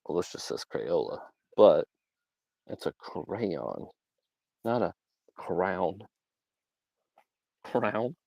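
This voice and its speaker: A man's voice: sits low, very animated, drawn-out words, gravelly, pauses often, dark tone, no room sound. A young man from Georgia in the US South, casually rambling on a livestream with lots of fillers and little laughs. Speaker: livestream host. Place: outdoors.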